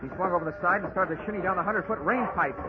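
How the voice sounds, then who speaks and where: A man's voice: high-pitched, racing, very noisy, natural voice. An actor playing a tough, wisecracking insurance investigator who narrates his case in clipped 1940s radio detective style. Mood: tense, dramatic. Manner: wisecracking, hard-boiled, clipped. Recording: muffled 1940s radio recording, music behind.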